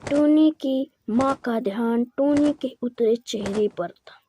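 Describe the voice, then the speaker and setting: A woman's voice: on the high side, slow pace, dark tone, a little hiss or hum. A woman narrating a scripted children's moral story.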